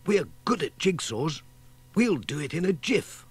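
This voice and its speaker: An eager, helpful young girl, acted by a man for a children's story. The voice is high and lively, full of confidence. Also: a little slow, breathy edge, full character voice. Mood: eager, reassuring.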